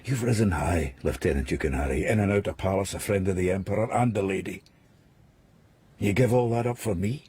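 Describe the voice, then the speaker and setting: An actor playing a bitter, mocking, drinking outcast in a radio drama, husky and sardonic. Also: male voice, low-pitched, a little fast, a little mellow. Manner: sardonic, brooding.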